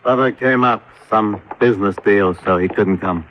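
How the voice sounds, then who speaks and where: Male actor as wary killer, low voice, offhand, clipped, probing, old-time radio drama villain. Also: normal speed, fairly bright tone, a little hiss or hum, lightly acted. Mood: wary, casual. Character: guarded, suspicious male killer posing as casual traveler. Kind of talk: 1950s radio drama acting.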